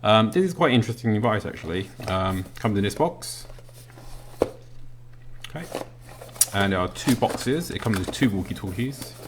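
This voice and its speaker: A man's voice: on the low side, lively intonation, normal speed, pauses often. A man giving a casual, unscripted product review in a hesitant, matter-of-fact way, indoors at a table. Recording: noisy home recording with clicks.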